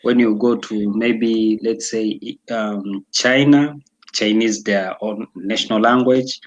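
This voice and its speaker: A young man from Tanzania talking casually as an interview guest, pausing often with fillers and restarts. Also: lowish voice, fairly even intonation, muffled recording. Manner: hesitant, many fillers and restarts.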